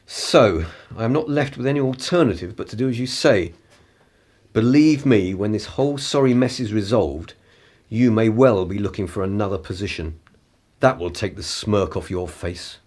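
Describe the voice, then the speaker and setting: An actor playing a pompous, articulate upper-class political radical in a radio play, with a husky voice. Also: male voice, lowish voice, moderate pace, darkish tone, lightly acted. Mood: indignant. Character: indignant, haughty upper-class male political radical and spy.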